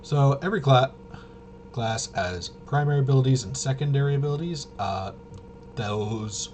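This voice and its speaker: A man hosting a casual, unscripted tabletop game walkthrough, halting and rambling as he thinks aloud. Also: medium pitch, darkish tone, slight background noise. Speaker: host of a tabletop RPG channel.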